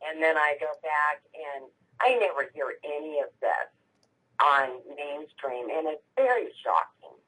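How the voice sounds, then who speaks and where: A woman calling in to a radio show, with a congested, husky voice, talking in a halting, emotional way. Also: deep voice, lively intonation, a bit bright. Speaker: caller. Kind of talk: call-in radio conversation. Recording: phone line.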